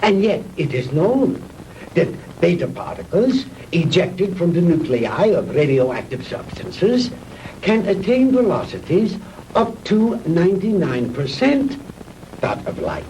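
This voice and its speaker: An actor playing a proud, vengeful mad scientist in a 1960s B-movie, lecturing pompously with theatrical pauses on an old film soundtrack. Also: male voice, sits high, very animated, dark tone, distant mic, a little hiss or hum, heavily acted, poor audio. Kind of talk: 1960s B-movie sci-fi acting.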